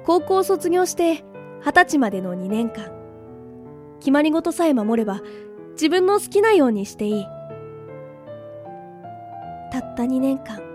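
A woman playing a bright, wistful nineteen-year-old village girl in a Japanese audio drama, cute and expressive. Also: high voice, measured pace, pauses often, faint music behind.

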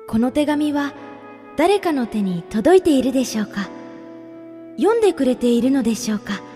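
A woman playing a dreamy, wistful young letter writer, reading in a gentle, measured and sincere way for a radio drama. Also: sits high, big pitch swings. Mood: dreamy, hopeful. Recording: long hall reverb under loud music. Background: prominent music.

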